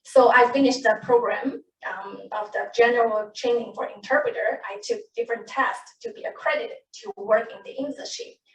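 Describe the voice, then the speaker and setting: Distant echoey woman speaking haltingly. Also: on the high side. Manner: halting, many restarts and self-corrections. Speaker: panelist, medical interpreter. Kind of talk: personal account at a panel. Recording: echoey room, distant mic, faint noise.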